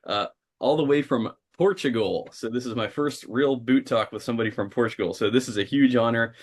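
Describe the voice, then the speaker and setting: A man hosting a casual interview, talking with enthusiasm at length, often pausing on uh. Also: medium pitch. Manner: enthusiastic, talkative, frequent uh fillers. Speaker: host.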